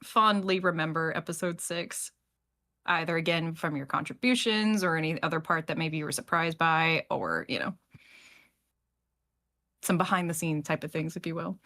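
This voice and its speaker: A woman's voice: deep voice, moderate pace, pushed and bright. A woman hosting a casual podcast discussion, talking effusively and slipping into little acted-out asides. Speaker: host of a fiction podcast discussion. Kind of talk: casual panel chat.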